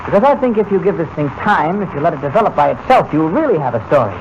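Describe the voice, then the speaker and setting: An actor playing a level-headed, skeptical newspaper reporter in a 1940s radio drama, brisk, plain-spoken and challenging. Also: male voice, on the high side, very animated. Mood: brisk, confident. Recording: noisy, dull old-time radio recording.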